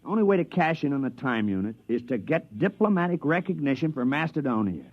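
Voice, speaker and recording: An actor playing a practical, cautious adventurer in a 1950s sci-fi radio drama, slangy and matter-of-fact. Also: male voice, mid-range voice, very animated, fast talker. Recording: dull, lo-fi vintage radio recording.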